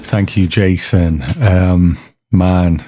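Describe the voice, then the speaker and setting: A man hosting a podcast in casual, reflective talk, conversational and broken into short halting phrases. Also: low voice, steady tone, mellow, a little hiss or hum. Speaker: podcast host.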